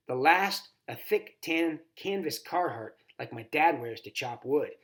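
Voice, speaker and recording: A man's voice: on the high side, expressive, unhurried. A man reading a children's novel aloud expressively, as a volunteer story reader.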